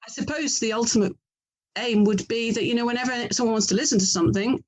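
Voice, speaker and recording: A woman's voice: fairly high pitch, fast talker. A middle-aged woman with an English accent answers interview questions conversationally, in a chatty, reflective way, often interrupting herself. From England. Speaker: author and audio drama producer being interviewed.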